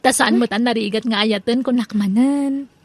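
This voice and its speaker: A woman with an Ilocano accent playing a bossy, sharp-tongued young village wife in a comedy radio drama. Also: on the high side, moderate pace, dry recording. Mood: coy, agreeable.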